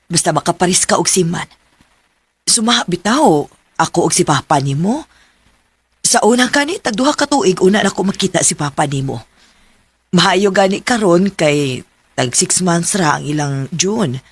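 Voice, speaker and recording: A woman's voice: lowish voice, lively intonation, moderate pace, pauses often, slightly rough, dry recording. An actress playing a practical, affectionate middle-aged mother in a radio drama, reassuring and chatty, with a Cebuano accent. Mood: reassuring, concerned.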